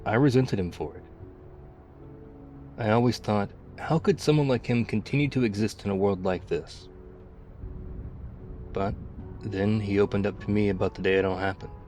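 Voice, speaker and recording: A man playing a battle-worn, wistful ex-soldier survivor of a zombie apocalypse, confiding haltingly and reflectively in an intimate ASMR roleplay. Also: fairly low pitch, steady tone, darkish tone, soft background music, natural voice.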